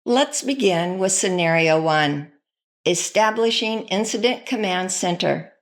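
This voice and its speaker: A woman's voice: mid-range voice, expressive, a little slow. A woman delivering scripted instructional narration as a training presenter.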